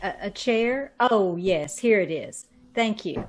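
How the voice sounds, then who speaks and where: Radio-play ingénue, played by a woman: nervous, polite young woman, slow hesitant delivery, very expressive pitch. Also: slight background noise. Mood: nervous politeness. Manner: hesitant, stammering, anxious. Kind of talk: old-time radio horror acting.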